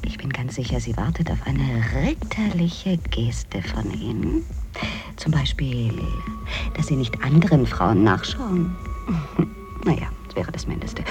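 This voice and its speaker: Musing confiding woman, radio play, hesitant, whispery dips, animated pitch, music behind. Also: exaggerated voice. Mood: teasing, advising. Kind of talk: German radio play acting. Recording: music under the voice.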